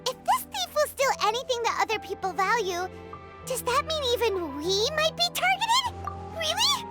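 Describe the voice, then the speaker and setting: A woman voicing a tiny, cute, childlike companion creature in a video game, squeaky, cheeky and exaggerated. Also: big pitch swings, normal speed. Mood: worried, defiant. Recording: loud music behind the voice.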